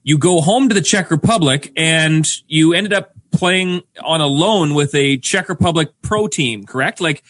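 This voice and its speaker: A man's voice: fairly high pitch, unhurried, few pauses, fairly bright tone. A man co-hosting a podcast, asking direct questions, several in a row. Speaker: podcast co-host. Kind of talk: casual interview questions.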